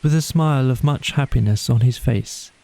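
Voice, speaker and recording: A man's voice: mid-range voice, normal speed, creaky, warm tone. A man reading a scripted bedtime story as an audiobook narrator.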